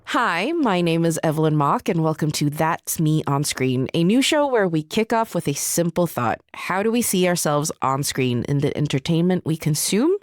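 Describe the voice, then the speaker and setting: A woman's voice: low-pitched, very animated, normal speed, hardly any pauses, no room sound, studio quality. A woman from Sweden hosting a podcast, reading a scripted intro and asking curious, friendly questions. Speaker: podcast host. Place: podcast studio.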